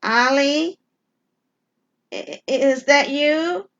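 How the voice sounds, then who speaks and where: A woman's voice: high-pitched, vocal fry, fairly bright tone, lo-fi sound, pitched above the performer's own voice, full character voice. A woman playing a frightened, anxious young wife in an audio drama, speaking emphatically. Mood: alarmed, relieved. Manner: anxious, emphatic, halting when scared. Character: Shelby, frightened young wife alone at home.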